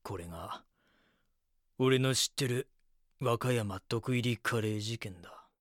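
A man's voice: medium pitch, measured pace, no room sound, clean studio sound, lightly acted. A man playing a brooding reporter who narrates an audio drama in the first person, somber and reflective. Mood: regretful. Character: brooding male reporter narrating his regretful recollection.

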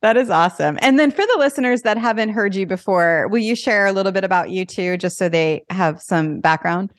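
A woman's voice: mid-range voice, dry recording, studio quality. A woman hosting a podcast, chatty and enthusiastic as she talks with her guest. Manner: enthusiastic, chatty, quick laughs. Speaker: podcast host. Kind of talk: podcast interview.

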